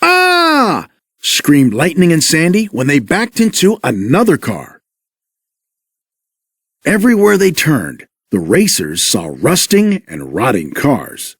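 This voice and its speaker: A male narrator reads a children's story in an expressive, unhurried way. His pitch is a little high and swings widely, and the recording is dry and close. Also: breathy edge, no room sound. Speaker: audiobook narrator.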